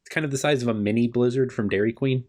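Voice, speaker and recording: A man's voice: medium pitch, fast, a little mellow. A man with a mid-range voice runs a tabletop game as game master. He improvises casually, with playful, wry banter, and tends to repeat his own phrases.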